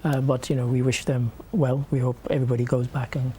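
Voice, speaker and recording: A man's voice: mid-range voice, little pitch movement, quick pace, background noise. A man hosting a studio news review, talking in a thoughtful, hesitant way with many fillers. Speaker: host. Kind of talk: studio discussion.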